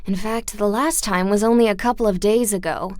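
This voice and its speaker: An actress playing a singer who talks in a soft, placating, pleading way, torn between her husband and an old friend. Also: medium pitch, fairly even intonation, a little fast, no room sound, clean studio sound, close to an everyday voice.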